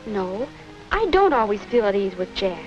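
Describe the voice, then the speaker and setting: A woman's voice: medium pitch, big pitch swings, normal speed, close to an everyday voice. An actress playing an earnest, wondering young American woman in a 1950 educational film, with a self-questioning way of talking. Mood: pensive. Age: young adult. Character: earnest young woman unsure about her romance. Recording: dull vintage 1950s film soundtrack with music.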